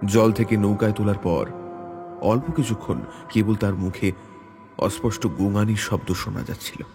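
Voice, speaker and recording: A man reading a hunting adventure as a dramatic audio story, in a measured, suspenseful way. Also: fairly low pitch. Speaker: story narrator. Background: background music.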